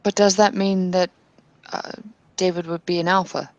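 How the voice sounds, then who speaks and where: A woman's voice: lowish voice, flat delivery, normal speed, dry recording, lo-fi sound, natural voice. A woman playing a blunt, impatient woman in an in-character tabletop roleplay, speaking in hesitant bursts that turn opinionated. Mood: questioning.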